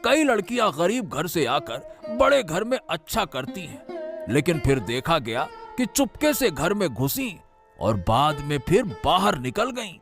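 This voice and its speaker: Guy doing a high gravelly busybody neighbor from a cartoon, nosy and sing-songy, faint music under. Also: sing-song, fairly bright tone, heavily acted. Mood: insinuating. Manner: nosy, insinuating, drawn-out delivery. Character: gossiping meddlesome male neighbor, middle-aged. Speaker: voice actor in an animated story.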